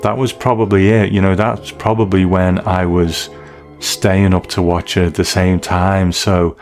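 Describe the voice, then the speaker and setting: A man's voice: deep voice, flat delivery, a little slow, soft background music. A middle-aged British man talking in a chatty, conversational way as a podcast host, casually going through listener feedback. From United Kingdom.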